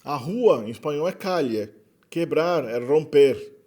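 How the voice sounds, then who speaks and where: A man with a Brazilian Portuguese accent giving an explanatory linguistics lecture in a relaxed way, often adding tag questions and correcting himself. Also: fairly high pitch, a little slow.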